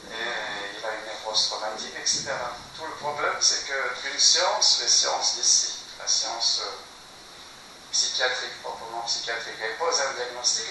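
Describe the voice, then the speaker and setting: A man's voice: medium pitch, sharp tone. A man, an ethnopsychiatry researcher and therapist, explains his work in an interview, mixing anecdotes with rhetorical questions. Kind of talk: interview answers. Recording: echoey room, distant mic, background noise.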